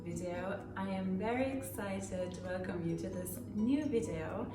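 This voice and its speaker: A woman's voice: mid-range voice, unhurried, reverberant. Casual vlog girl in her 20s, lots of "like" and "um", sounds a bit far off, music playing behind. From Finland, raised in Germany. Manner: chatty, many fillers and little laughs. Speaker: vlogger. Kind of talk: casual get-to-know-me Q&A. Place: home room.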